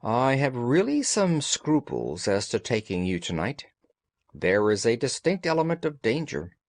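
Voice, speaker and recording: A man voicing a commanding, analytical gentleman detective in an audiobook, speaking precisely and formally. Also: medium pitch, sing-song, unhurried, no echo. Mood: confiding, deductive. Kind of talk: audiobook character dialogue.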